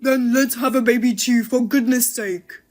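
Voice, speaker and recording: A woman voicing an irritable, jobless husband who blames and snaps loudly. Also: on the high side, steady tone, moderate pace, faint music behind, lightly acted. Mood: angry. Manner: loud, blaming and rude.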